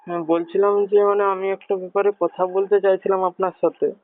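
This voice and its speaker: A high-voiced man speaks over a thin, muffled phone line. He hesitates and rambles pleadingly, playing the worried parent in a prank call. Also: little pitch movement, a little fast, slight background noise. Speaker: prank caller.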